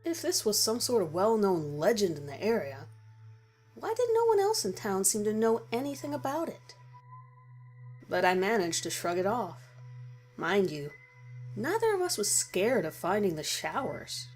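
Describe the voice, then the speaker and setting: A woman's voice: mid-range voice, sing-song, normal speed, darkish tone, slight background noise. A woman reading a scripted horror story in the first person as its narrator. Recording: music behind the voice. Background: background music.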